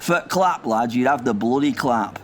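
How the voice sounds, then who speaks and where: A man's voice: medium pitch, expressive, normal speed, a little hiss or hum, lightly acted. A man playing a grumpy, rambling old war veteran who curses constantly. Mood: cantankerous. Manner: rambling, cursing, confused. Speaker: comic character.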